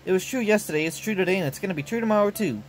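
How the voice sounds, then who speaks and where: A man's voice: sits high, expressive, very fast, slight background noise, pitched above the performer's own voice. A man voicing a cocky young woman swordswoman and painter who talks in a brash, boastful way in a game story. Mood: proud.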